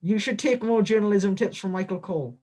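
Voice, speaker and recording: A man giving a comedic, in-character interview as a guest wrestler, deadpan, boastful and absurd. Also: high-pitched, fairly quick.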